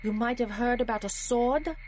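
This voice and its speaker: A woman playing a sly, poised Hong Kong politician in a video game, with a measured, persuasive delivery. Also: on the high side, darkish tone, slight background noise, lightly acted.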